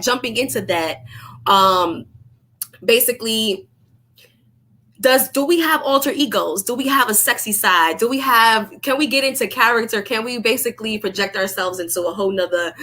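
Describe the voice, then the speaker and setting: A woman's voice: fairly high pitch, sharp tone. A woman with a New York accent hosting a casual live chat, hyping up listeners and repeating her phrases. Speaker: host of a live show.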